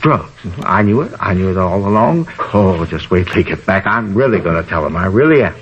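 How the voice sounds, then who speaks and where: An actor playing a fussy, eager, nosy homeowner in a 1950s radio drama, rambling and repeating himself. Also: male voice, low voice, lively intonation, brisk. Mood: excitable, indignant. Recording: dull, noisy old radio recording.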